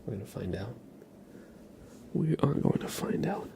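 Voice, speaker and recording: A man streaming ASMR, chatting casually to viewers in a soft, breathy whisper with a slightly stuffy nose. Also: medium pitch, big pitch swings, measured pace, a little hiss or hum. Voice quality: breathy whisper with a creaky edge. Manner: soft-spoken, rambling, casual.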